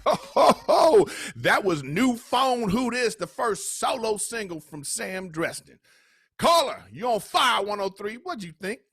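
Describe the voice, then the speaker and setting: Hype radio dj, high voice bouncing all over, dry close sound. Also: male voice, big pitch swings, a little slow, creaky, fairly bright tone, breathy edge, dry recording, soft background music, heavily acted. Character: brash male radio host, hype and showy. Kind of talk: table read of a TV comedy script.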